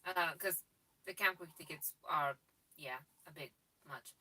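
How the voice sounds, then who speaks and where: A woman's voice: low-pitched, moderate pace, sharp tone, husky, recorded from a distance, rough recording. A young woman from Bulgaria with a Bulgarian accent, chatting on a live stream with many restarts and laughs. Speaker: co-host. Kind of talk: casual live stream chat.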